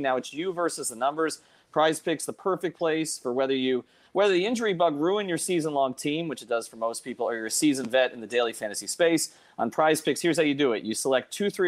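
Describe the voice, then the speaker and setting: Guy hosting a sports podcast, regular mid pitch voice, casual talk. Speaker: podcast host.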